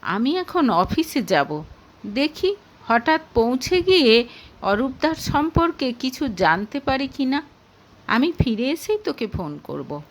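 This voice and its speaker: A woman's voice: high voice, sing-song, slow, exaggerated voice, low-quality recording. A woman voicing a proud, chatty young married woman confiding in her friend in an audio story. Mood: confiding, determined.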